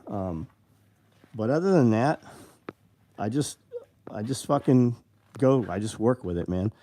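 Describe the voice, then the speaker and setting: A man's voice: fairly low pitch, expressive, unhurried, warm tone, a little hiss or hum. A man in his fifties hosting a casual live show, answering viewer comments in a blunt, rambling and profane way. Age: 50s.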